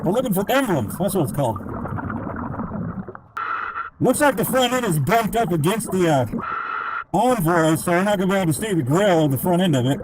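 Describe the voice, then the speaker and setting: Squeaky-voiced man on a noisy diving comms line. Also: expressive, fairly quick, long pauses. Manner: chatty, thinks aloud as he works. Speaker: diver. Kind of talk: casual narration while searching. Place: underwater in a river.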